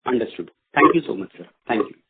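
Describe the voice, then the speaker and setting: A male analyst with a rough-edged, husky voice asks formal questions on an earnings call. He is polite and halting. The line is narrow and phone-like. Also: mid-range voice, lively intonation. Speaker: financial analyst asking questions. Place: conference call.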